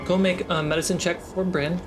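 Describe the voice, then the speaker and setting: A man's voice: sits high, little pitch movement, brisk. A man hosting and narrating a tabletop game dramatically as dungeon master. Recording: loud cinematic music behind voice. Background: loud music score.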